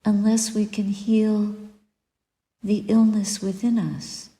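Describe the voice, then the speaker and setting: A woman guiding a meditation as a Buddhist teacher, speaking softly and deliberately in short phrases with long pauses and breathy endings. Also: mid-range voice, flat delivery. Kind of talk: guided meditation, spoken dharma reflection. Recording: echoey room with long reverb.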